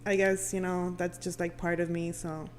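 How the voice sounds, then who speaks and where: A woman's voice: on the low side, little pitch movement, normal speed. A woman radio host chatting casually as a guest, with informal, slangy phrasing peppered with filler words like "you know". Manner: informal, slangy, frequent 'like' and 'you know'. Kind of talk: casual chat. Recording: background room noise.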